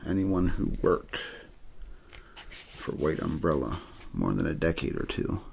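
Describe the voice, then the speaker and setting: A man reading a novel aloud as a quiet ASMR bedtime story, in an understated, untheatrical way with a low, gravelly, husky voice.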